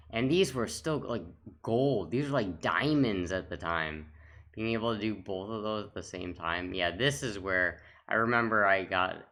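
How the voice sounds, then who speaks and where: Male gaming host, low-pitched with a crisp, forward edge; off-the-cuff commentary, halting and thinking out loud. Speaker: host of a gaming trophy-hunting channel. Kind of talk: casual unscripted commentary while scrolling.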